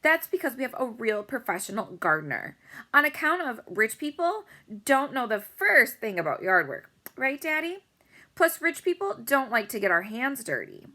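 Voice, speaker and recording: A woman's voice: a little high, big pitch swings, normal speed, pushed and bright, pitched above the performer's own voice, exaggerated voice. A woman voicing a spoiled, boastful rich little girl in a storybook, squealing and bragging.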